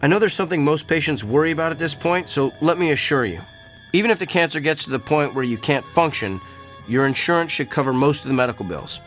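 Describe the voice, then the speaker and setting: An actor playing a blunt, cerebral doctor in a radio drama, with a precise, pedantic, clinical manner. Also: male voice, fairly high pitch, lively intonation, fast, a bit bright, faint music behind. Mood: detached.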